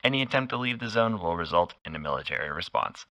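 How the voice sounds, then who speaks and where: A man hosting a radio broadcast with a deadpan, scripted delivery. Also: sits low, fast, no echo. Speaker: host, radio operator. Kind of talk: scripted radio host monologue.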